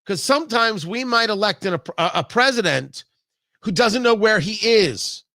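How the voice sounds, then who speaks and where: An American man hosting a talk show, giving an opinionated political monologue in an emphatic way, repeating phrases deliberately for effect. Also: high-pitched, lively intonation, creaky, sharp tone, no room sound, studio quality.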